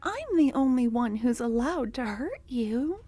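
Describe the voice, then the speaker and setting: A woman voicing an obsessive, possessive female cultist lover in an ASMR roleplay, talking softly and slipping into whispers, tender yet menacing. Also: on the high side, sing-song, a little slow, breathy edge, exaggerated voice. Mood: bitter, regretful. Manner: soft-spoken, drifting into whispers.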